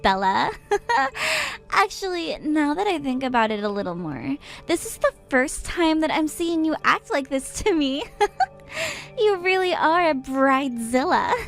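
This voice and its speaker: A woman voicing a drunk, mocking young sister-in-law who giggles and taunts in a high voice. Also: exaggerated voice.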